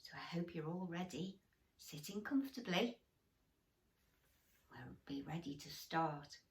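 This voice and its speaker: Female bedtime storybook reader for small children: slow, expressive, gently encouraging, recorded in an echoey room at a distance. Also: low voice. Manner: animated, gently encouraging, addressing children directly. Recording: roomy echo, distant microphone.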